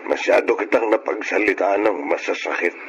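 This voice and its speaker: A man's voice: fairly low pitch, moderate pace, dull audio, close to an everyday voice. Man acting proud Filipino father, noisy recording. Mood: remorseful, tender. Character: proud wayward older father, gruff, later remorseful. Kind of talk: Filipino radio drama acting. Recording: noisy radio drama recording.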